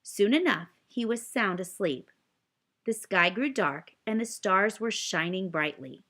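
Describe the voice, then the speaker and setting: A woman reading a children's story aloud, expressive and addressing young listeners directly as she narrates. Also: mid-range voice, unhurried. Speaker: storybook reader.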